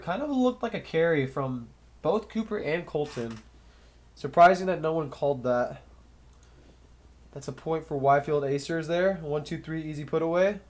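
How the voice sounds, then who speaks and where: A young man with a slightly nasal, stuffy voice from a cold, casually calling a match play by play on his own and thinking aloud between points. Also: on the high side. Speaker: sports commentator. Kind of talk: solo play-by-play commentary.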